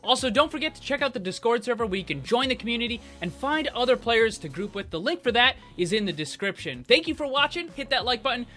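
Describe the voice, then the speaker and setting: Bright, high-pitched man talking gaming news. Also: sing-song, fast talker, few pauses, soft background music. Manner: informal, chatty, frequent "like" and "you know". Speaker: YouTube gaming host. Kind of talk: casual gaming news commentary.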